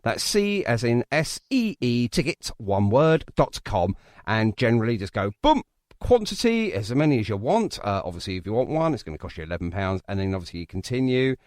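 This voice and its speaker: A man hosts a chatty radio interview with a very animated pitch. He teases and jokes, repeating phrases for effect. The sound is dry, with little room echo. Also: fairly quick. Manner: jokey, teasing, repeats phrases. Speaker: radio show host.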